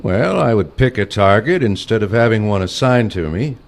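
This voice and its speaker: An actor playing an older retired male assassin, a stern mentor with a dry, curt, deadpan manner in a comic audio play. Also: on the low side, normal speed, gravelly. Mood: matter-of-fact.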